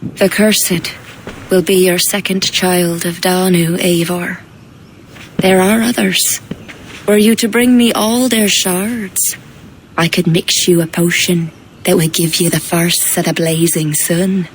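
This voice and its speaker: A woman's voice: on the low side, flat delivery, slow pace, a little hiss or hum, low-quality recording. A woman playing a grave, mystical druid healer in a video game, speaking in a commanding, incantatory way. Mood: grave, instructing. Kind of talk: dramatic video game cutscene acting.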